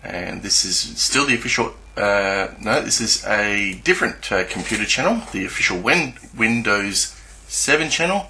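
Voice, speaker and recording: A man with a fairly low voice and a very sharp, forceful edge narrates a screen recording. He presents like an online video creator walking through evidence, with a somewhat halting, hesitant delivery. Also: lively intonation, a little hiss or hum, poor audio. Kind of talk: screen-recording commentary, partly scripted exposé.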